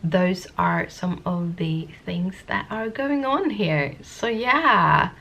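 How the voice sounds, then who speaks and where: A woman vlogger with a sniffly cold, chatting to the camera in a soft-spoken, rambling, hesitant way. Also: fairly low pitch, takes it slowly, gravelly. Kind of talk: casual talking-to-camera vlog.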